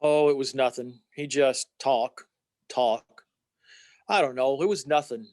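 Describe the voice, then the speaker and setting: A man's voice: medium pitch, unhurried, a little mellow, no echo, lightly acted. A man playing an earnest, flustered young small-town family man in an old-time radio play, stammering and using folksy exclamations. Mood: downcast.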